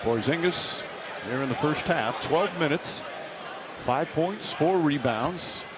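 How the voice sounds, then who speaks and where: A man's voice: on the high side, slow. A man doing live basketball play-by-play commentary in clipped phrases. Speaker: play-by-play announcer. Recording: loud arena crowd and noise.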